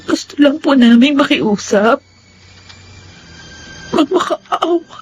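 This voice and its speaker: A woman with a Filipino accent playing a grieving, earnest elder sister in a radio drama, speaking haltingly and tearfully. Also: sits high, animated, a little hiss or hum. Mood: tearful pleading. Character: grieving eldest sister, bereaved mother, pleading and forgiving.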